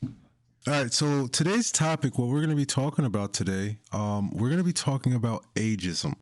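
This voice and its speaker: A man hosting a casual podcast discussion, speaking in a deliberate, opinionated way. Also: mid-range voice, expressive, a little mellow, dry recording. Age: young adult to middle-aged. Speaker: podcast host.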